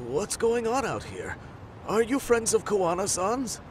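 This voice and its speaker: Chatty nice neighbor from a diner, animated voice, game dub, street noise behind. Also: male voice, high voice, measured pace. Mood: curious, affable. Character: friendly, chatty male neighborhood eatery worker. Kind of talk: English video game dub acting.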